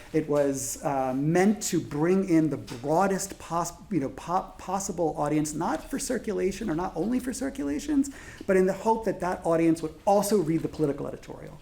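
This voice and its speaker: Male panelist in his 40s, somewhat high voice with gravel, halting delivery with lots of fillers. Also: a little mellow. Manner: halting with many fillers and restarts. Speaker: panelist translator. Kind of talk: panel discussion.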